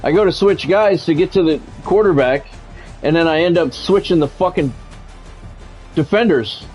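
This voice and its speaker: Higher-voiced man calling football play-by-play, music behind. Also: moderate pace, darkish tone. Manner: blunt, conversational, salty-tongued. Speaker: gaming streamer doing play-by-play of a football video game. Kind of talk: live sports play-by-play commentary mixed with casual chat.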